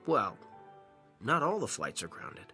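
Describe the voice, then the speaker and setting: A man's voice: medium pitch, wildly swooping pitch, unhurried, gravelly, sharp tone, faint music behind, full character voice. An actor playing an easygoing, whimsical, joking man with a breezy, playful delivery. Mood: kindly, weary. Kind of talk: acted audio drama dialogue.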